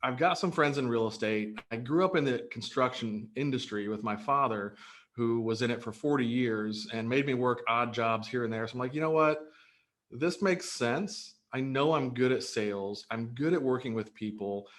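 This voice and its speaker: An upbeat man in his thirties, born in Greece, answers interview questions casually. He talks earnestly and keeps restarting his sentences with ums and uhs. His pitch sits in a middle range for a man. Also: moderate pace, a bit bright. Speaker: real estate broker, guest.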